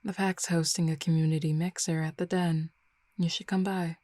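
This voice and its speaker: A woman playing a flirty, easygoing female werewolf in a roleplay audio drama, relaxed, confident and teasing in an intimate, unhurried conversation. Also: deep voice, dry recording, natural voice. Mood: casual, chatty.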